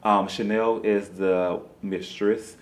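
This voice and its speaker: A man's voice: fairly low pitch, level tone, takes it slowly. A man being interviewed as a playwright, talking casually and conversationally, often saying "you know.".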